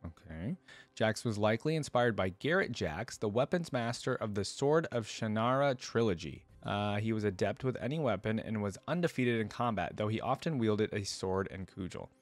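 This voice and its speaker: A man's voice: lowish voice, lively intonation, moderate pace, dry recording. A man hosting a casual reaction show, talking conversationally, thinking aloud and putting questions to viewers as he reads along. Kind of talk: casual reaction commentary, reading wiki pages aloud.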